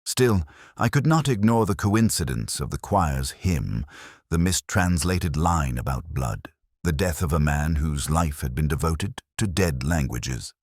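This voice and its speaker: A man voicing a weary, melancholy older English detective, narrating a literary mystery in a measured, wry, brooding way. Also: a bass voice, lively intonation, a little mellow, breathy edge, no room sound, clean studio sound, heavily acted. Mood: sombre, reflective.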